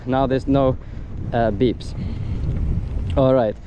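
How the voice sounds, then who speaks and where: A man's voice: mid-range voice, steady tone, takes it slowly. Man demonstrating a gadget outdoors, noisy background. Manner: explanatory, step by step. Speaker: gadget reviewer. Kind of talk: casual hands-on product demonstration. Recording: loud wind and outdoor ambient noise.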